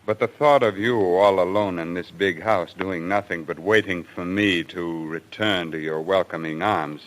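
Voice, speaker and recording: A man's voice: low voice, moderate pace, a bit bright, slight background noise, poor audio. An actor playing a tormented, menacing hunter husband losing his sanity, in a theatrical, intense old-time radio thriller style. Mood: sarcastic. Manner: theatrical, sarcastic, brooding, intense. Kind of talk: old-time radio thriller acting and first-person narration. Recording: old radio recording with music behind.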